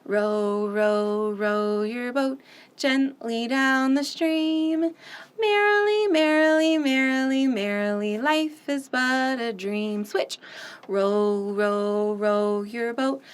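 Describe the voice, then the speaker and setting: A woman hosting a children's storytime, reading picture books aloud and singing nursery songs in an animated, sing-song way with loud playful sound effects. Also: fairly high pitch, slow pace, clean tone.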